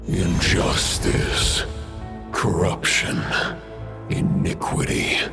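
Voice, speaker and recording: An imperious ancient evil spirit for a game, very deep, crawling gravelly delivery. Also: male voice, little pitch movement, extremely slow, music in the background. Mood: menacing contempt. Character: ancient menacing male spirit, contemptuous and imperious. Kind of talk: video game voice acting.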